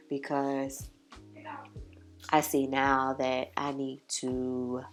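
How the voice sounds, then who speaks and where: A congested woman, chatting casually to camera about makeup and giving her opinions. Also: sits low. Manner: chatty, opinionated, drawn-out emphasis. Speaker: beauty vlogger. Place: home makeup setup with ring light. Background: soft background music.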